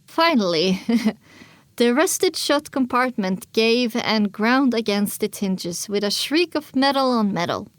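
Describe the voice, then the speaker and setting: A woman's voice: on the high side, animated, takes it slowly, dry recording. A woman reading scripted first-person story narration as the narrator of an audio drama.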